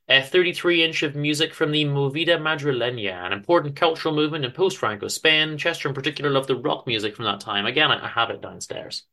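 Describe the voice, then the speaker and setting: A man with a bright, forceful voice at a middle pitch tells a story. He reads dramatically and lets deliberate pauses hang between phrases.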